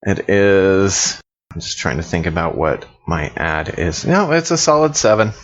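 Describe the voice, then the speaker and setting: A man's voice: low voice, animated, measured pace. A man playing a tabletop role-playing game, chatting casually as he plans his moves, on a slightly lo-fi microphone. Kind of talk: casual chat during role-play. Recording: slightly dull, lo-fi microphone sound.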